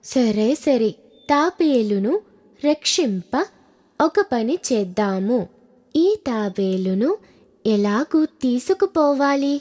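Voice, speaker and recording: A woman plays a careful, helpful crane in a kids' cartoon. The voice is high and expressive, advising slowly and deliberately. Music runs underneath. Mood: considerate, cautioning. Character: helpful, cautious crane friend of a tortoise. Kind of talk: children's animated moral story.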